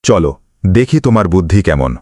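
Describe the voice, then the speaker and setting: Cartoon djinn tea seller, male actor: boastful and menacing, taunting delivery, very animated pitch, dry studio sound. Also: fairly quick, dry recording. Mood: smug, challenging. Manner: theatrical, taunting.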